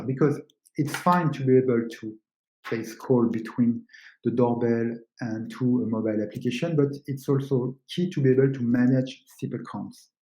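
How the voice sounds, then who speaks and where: Male webinar presenter, mellow tone, halting explanatory delivery, roomy echoing sound. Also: medium pitch. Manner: explanatory, halting non-native English phrasing. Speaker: webinar presenter, company co-founder.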